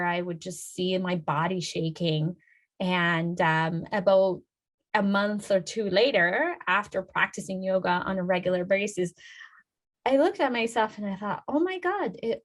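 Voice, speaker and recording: A woman in her thirties from Iran, a yoga and Ayurveda healer, speaking as an interview guest in a thoughtful, hesitant way with many fillers. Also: medium pitch.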